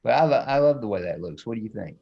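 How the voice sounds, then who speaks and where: A man's voice: mid-range voice, animated, quick pace, creaky, dull audio. A man, an illustrator talking casually and playfully as he demonstrates drawing. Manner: folksy, playful, self-described silly. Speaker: children's book illustrator.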